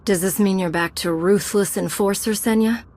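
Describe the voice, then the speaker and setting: A woman's voice: mid-range voice, steady tone, normal speed, darkish tone, lightly acted. An actress playing a composed, heroic female knight in a space fantasy game, asking things directly. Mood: wry. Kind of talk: video game dialogue acting.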